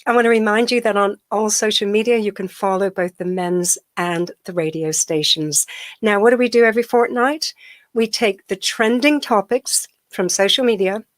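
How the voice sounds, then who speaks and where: A woman's voice: mid-range voice, no room sound. A middle-aged woman with a heavy cold, hosting a chatty radio interview and laughing often. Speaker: radio show host.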